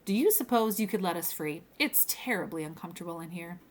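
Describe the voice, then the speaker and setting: A woman's voice: on the low side, animated, brisk, lightly acted. A woman voicing a small, genteel male pterodactyl from a storybook, with fussy, old-fashioned politeness. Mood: pleading politely.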